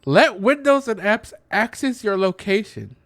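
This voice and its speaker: A man's voice: sits high, animated, unhurried, a bit bright, dry recording. A man doing casual let's play commentary, explaining things in a hesitant, rambling way into a close mic. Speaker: gaming content creator.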